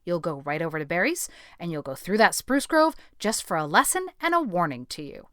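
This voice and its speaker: A woman's voice: lowish voice, sing-song, fairly quick, a bit bright, no room sound, clean studio sound. A woman playing a stern, practical older guardian in a storybook reading, with a firm, scolding, clipped manner.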